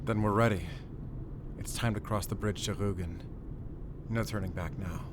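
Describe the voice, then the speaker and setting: A man playing a battle-worn, calm and wry werewolf hunter in an audio roleplay, speaking deliberately in short, paused phrases with quiet tenderness. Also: lowish voice, noisy background, natural voice. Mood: grave, resolute. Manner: measured, deliberate, pausing between short phrases. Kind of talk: cinematic audio roleplay acting.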